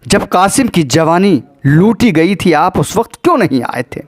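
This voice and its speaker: A man's voice: high-pitched, lively intonation. A man voicing a grief-stricken sister in a religious lament, pleading and reproaching with anguished repetition. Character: grief-stricken pleading sister guarding her slain brother.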